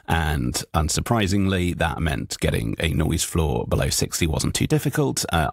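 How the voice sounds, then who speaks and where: A man in his forties with a very deep, gravelly voice and a slightly husky edge. He explains things in a methodical way, pausing with ums and correcting himself. The sound is dry and close, recorded in a small home booth. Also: no echo. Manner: measured, methodical, with frequent ums and self-corrections. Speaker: voice actor reviewing microphones. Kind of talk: explanatory tech review. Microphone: studio microphone at close range, wearing headphones.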